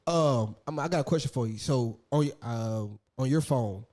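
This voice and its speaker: A slangy man co-hosting a casual podcast, speaking in animated back-and-forth banter. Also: mid-range voice, moderate pace. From northern United States. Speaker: podcast co-host.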